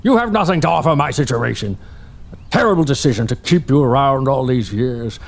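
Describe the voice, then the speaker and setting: An actor playing an obsessed, greedy older male archaeologist who talks pompously and cruelly, with a gravelly, husky voice. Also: mid-range voice, expressive, fairly quick. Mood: cold contempt. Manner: pompous, cruel, bursts into manic shouting. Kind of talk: audio drama acting.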